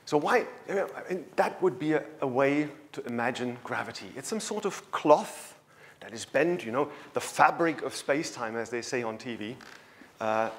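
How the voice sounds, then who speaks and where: A man's voice: mid-range voice, animated, moderate pace. A man giving a conversational science lecture in a large lecture hall, speaking into a handheld microphone with a storytelling manner.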